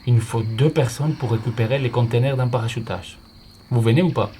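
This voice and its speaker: A man's voice: medium pitch, close to an everyday voice. Commanding squad leader in noisy recording man acting. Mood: brisk command. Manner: commanding, brisk. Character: brisk male resistance squad leader giving orders. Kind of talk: audio drama acting.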